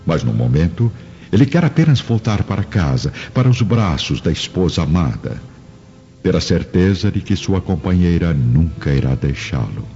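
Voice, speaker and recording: A Brazilian man narrates a radio drama dramatically. His voice is deep and mellow with lively intonation. The recording is a little dull. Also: normal speed. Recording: dull lo-fi with music behind.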